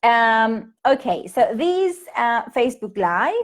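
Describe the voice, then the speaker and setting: A woman's voice: on the high side, sing-song, slow pace. A woman in her thirties from Peru with a Peruvian Spanish accent, chatting casually on a home live stream to English teachers, full of fillers and restarts. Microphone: laptop or phone mic on a live stream.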